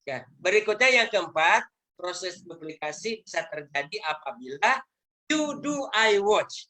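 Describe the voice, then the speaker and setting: A woman's voice: mid-range voice, animated, a little slow, pushed and bright, low-quality recording. A woman leading a motivational network marketing training over a video call, speaking emphatically in a lecturing manner and posing rhetorical questions.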